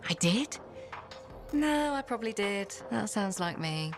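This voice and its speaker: A scholarly game character who is frank and a little easily flustered. Her voice sits at a medium pitch, dryly humorous, with clear music behind. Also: big pitch swings, a little slow. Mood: candid, dry. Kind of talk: video game dialogue acting.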